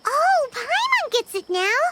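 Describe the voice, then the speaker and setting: Very high squeaky little companion girl, bouncy and lively, loud music behind. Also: very noisy, heavily acted. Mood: excited. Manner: chirpy, excitable, talks fast. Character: tiny bubbly floating companion girl who speaks of herself in third person. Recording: game audio with loud background music.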